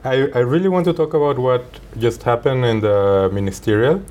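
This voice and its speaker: A man's voice: medium pitch, moderate pace, darkish tone. A man hosting his own interview podcast, reading a prepared introduction with small stumbles and restarts, then asking his guest questions. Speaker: podcast host.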